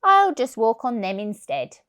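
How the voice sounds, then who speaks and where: A woman's voice: fairly high pitch, big pitch swings, unhurried, fairly bright tone. Woman voicing an indignant, stubborn storybook cat. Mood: aggrieved. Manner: emphatic, aggrieved. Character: indignant female pet cat, stubborn and easily affronted.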